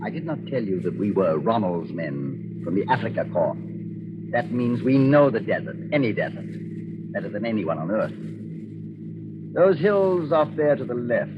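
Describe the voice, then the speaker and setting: An actor playing a cold Nazi colonel in a 1940s radio drama, mock-polite, precise and commanding. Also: male voice, on the low side, very animated, moderate pace. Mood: smug, commanding. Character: cold, suavely menacing escaped Nazi colonel. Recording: dull, noisy 1940s radio recording.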